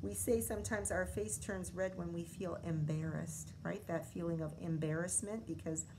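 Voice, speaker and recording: A woman's voice: on the low side, fairly even intonation, normal speed, background noise. A middle-aged American woman, a schoolteacher, reading a picture book aloud to young children and explaining it expressively as she goes. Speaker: elementary school teacher.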